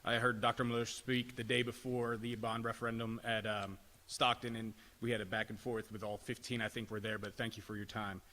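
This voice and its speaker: A man's voice: lowish voice, flat delivery, fairly quick, pushed and bright. A man politely and hesitantly asking questions at a school board meeting, with many fillers. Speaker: public commenter. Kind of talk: public comment at a board meeting. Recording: livestream with long hall reverb.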